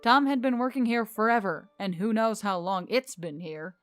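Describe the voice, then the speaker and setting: A woman voicing a weary, sardonic male treasure-hunting boss in a fantasy audiobook, with a gruff, derisive, deadpan manner. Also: mid-range voice, normal speed, soft background music, natural voice. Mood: exasperated.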